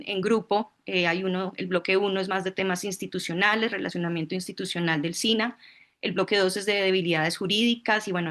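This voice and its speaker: A woman's voice: medium pitch, a bit bright. A woman, a board member moderating a video-call meeting and explaining the logistics in an organized way.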